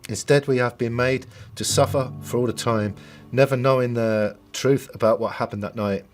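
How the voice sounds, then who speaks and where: A middle-aged Irish man speaks at an ordinary male pitch, giving a solemn personal statement. His delivery halts at times, and faint music plays behind him. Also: fairly even intonation. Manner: halting, solemn. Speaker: bereaved brother giving a family statement. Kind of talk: personal testimony, partly recalled and partly read.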